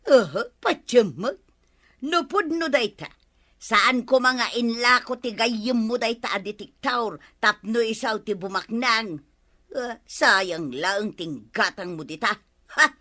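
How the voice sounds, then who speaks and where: A woman's voice: fairly high pitch, lively intonation, slow pace, pushed and bright, exaggerated voice. A woman playing a sceptical, sarcastic village wife in an Ilocano radio comedy, mocking and questioning, with an Ilocano accent. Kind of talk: Philippine radio comedy drama acting.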